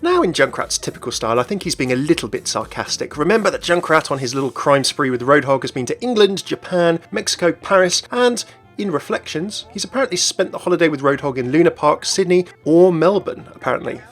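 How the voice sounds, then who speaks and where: Gaming news host, male, slightly high voice, scripted commentary with music underneath. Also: animated, brisk.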